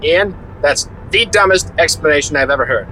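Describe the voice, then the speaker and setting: An actor playing a brash, crude, sardonic investigator in an audio drama, wisecracking and condescending. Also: male voice, a little high, expressive, normal speed, pushed and bright, slight background noise, poor audio. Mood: brash, skeptical.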